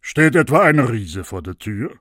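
A man voicing an old fairy-tale king, measured and firm. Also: mid-range voice, big pitch swings, a bit bright, dry recording, clean studio sound. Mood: stern.

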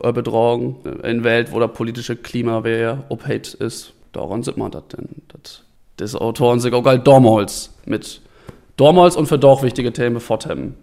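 North german academic guy explaining stuff in an interview, creaky voice, middle pitch. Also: a little mellow.

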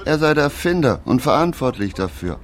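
A man playing a deadpan radio-play narrator who relays a drunkard's grand claims in clipped, repetitive phrases. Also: mid-range voice, fairly quick, lightly acted. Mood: deadpan reporting. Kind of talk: German radio play narration in reported speech.

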